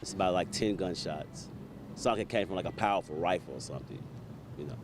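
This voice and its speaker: Male resident, deep-ish voice, casual street interview, clear background noise. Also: fairly quick. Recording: noisy outdoor location sound.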